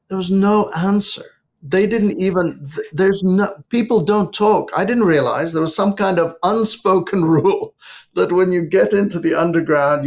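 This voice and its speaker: A man from Lebanon, a guest author in an interview, telling a humorous anecdote in a lively way. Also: fairly high pitch. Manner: lively storytelling. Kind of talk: interview conversation.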